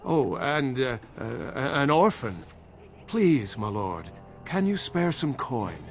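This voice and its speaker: A man's voice: mid-range voice, sing-song, slow pace, slight background noise. A male actor playing a humble, fawning beggar, hesitant, pleading and effusive. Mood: ingratiating, pleading.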